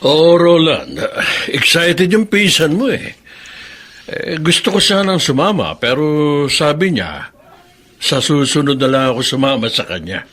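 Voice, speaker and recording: Casting: easygoing father, middle-aged, higher voice, animated intonation, radio drama. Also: measured pace. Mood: easygoing, curious. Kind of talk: Filipino radio drama acting.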